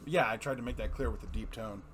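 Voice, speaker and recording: A man's voice: mid-range voice, fast talker, fairly bright tone. A man hosting a show, narrating and chatting casually about what he reads. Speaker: host and reader. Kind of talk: casual narration and review chat.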